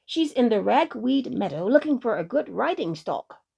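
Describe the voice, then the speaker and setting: A woman's voice: a little high, big pitch swings, moderate pace, vocal fry, warm tone, slightly rough, muffled recording, heavily acted. A woman voicing a friendly, earnest little lantern man, a helpful sprite in a children's storybook.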